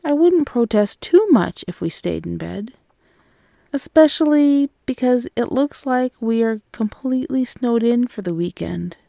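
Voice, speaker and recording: Woman acting soft, slow, mellow loving girlfriend. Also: on the high side, animated, gravelly, no room sound, clean studio sound. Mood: cosy, content. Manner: soft, cooing, teasing. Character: loving, playful, affectionate girlfriend waking her partner. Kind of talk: ASMR girlfriend roleplay.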